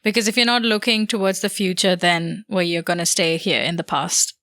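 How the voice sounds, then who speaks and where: A woman's voice: on the low side, fairly even intonation, fairly quick, fairly bright tone, no echo, studio quality. A young woman co-hosting a podcast, chatting casually in an upbeat way and giggling often. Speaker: podcast co-host. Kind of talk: casual chat.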